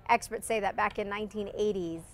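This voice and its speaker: A woman news anchor reading morning headlines briskly, with light friendly banter and a slightly scratchy voice. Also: medium pitch, fairly even intonation. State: scratchy voice from allergies.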